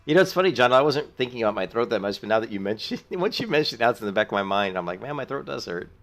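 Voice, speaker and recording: A man's voice: medium pitch, big pitch swings, very fast, a bit bright. A middle-aged man with a sore throat, chatting casually and rambling to his viewers while streaming a game. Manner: friendly, rambling, thanks viewers. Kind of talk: casual chat while gaming.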